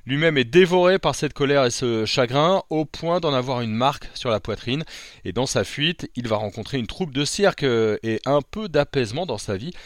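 A man's voice: medium pitch, lively intonation, normal speed, no echo, studio quality. A man presenting a scripted podcast review segment, upbeat, with light asides and some hesitation. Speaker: podcast columnist.

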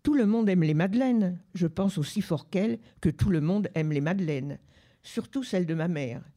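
Woman reading aloud in a dark, mellow voice. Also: lowish voice, moderate pace. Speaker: reader at a book club radio show. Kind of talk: reading a literary excerpt aloud. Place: public library.

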